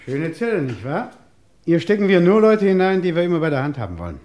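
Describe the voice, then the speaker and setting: An actor playing a brisk, impatient French police inspector, curt and sardonic, in an old German crime radio play. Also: male voice, on the high side, big pitch swings, darkish tone.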